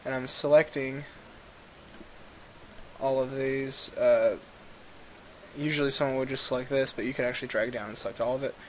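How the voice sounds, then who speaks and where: A man hosts a software tutorial in a casual, rambling way. His pitch is average for a man. He is on a computer mic set a little away, with clear hiss over the recording. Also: steady tone, normal speed, pauses often. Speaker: tutorial host. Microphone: computer mic a little far away.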